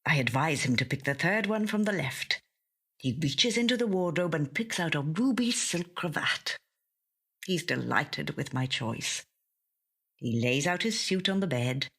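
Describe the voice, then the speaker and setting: A woman reading a first-person literary short story in a measured, gentle storytelling manner. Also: low voice, slightly rough. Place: audio studio.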